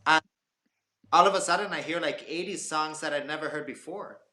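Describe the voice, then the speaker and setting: A man's voice: a little high, fairly quick, roomy sound. A man in his forties from Canada, a guest on a casual video-call chat, telling stories with jokey asides. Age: 40s. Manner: animated storyteller, jokey asides.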